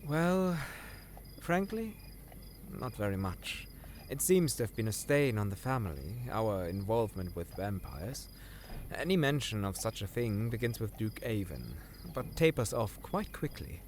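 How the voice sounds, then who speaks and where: Man playing a humble scholar with lively intonation. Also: on the low side, a little slow, slight background noise. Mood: earnest explaining. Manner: formal, humble, slightly hesitant. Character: earnest, deferential male scholar serving royalty. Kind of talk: fantasy audio drama acting.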